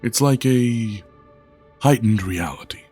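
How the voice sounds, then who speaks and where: A man playing a weary, reserved alien in an audio drama, speaking huskily in a formal, halting way. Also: fairly low pitch, mellow, husky, faint music behind. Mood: grave, confiding.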